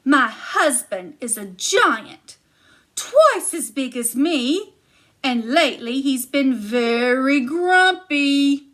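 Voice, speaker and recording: A woman voicing a bossy giant wife who scolds in a shrill voice. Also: lively intonation, takes it slowly, a bit bright, exaggerated voice. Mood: scolding.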